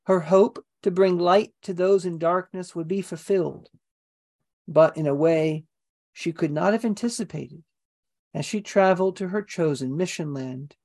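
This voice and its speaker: A woman's voice: on the low side, unhurried, a little mellow. Solemn woman narrating a documentary.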